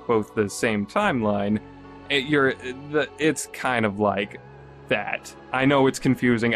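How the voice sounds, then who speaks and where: A man hosting a podcast, giving a rambling, casual review full of restarts and self-corrections. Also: mid-range voice. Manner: rambling, many restarts and fillers, self-deprecating. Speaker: podcast host reviewing a visual novel.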